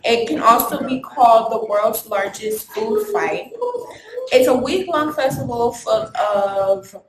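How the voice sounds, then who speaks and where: A woman giving a casual school presentation and explaining things with fillers along the way. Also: mid-range voice. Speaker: student presenter. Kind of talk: classroom presentation. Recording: echoey room with noise.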